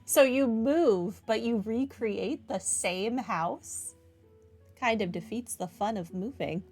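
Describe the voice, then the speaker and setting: A woman's voice: fairly high pitch, slow, a little mellow, faint music behind. A woman game streamer giving casual, wry live commentary on her playthrough. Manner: chatty, wry.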